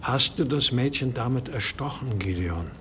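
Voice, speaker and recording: A man playing a stern, controlled monastery abbot who speaks gravely in a German audio drama. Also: mid-range voice, animated, background noise, close to an everyday voice. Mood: stern interrogation. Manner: grave, measured, questioning.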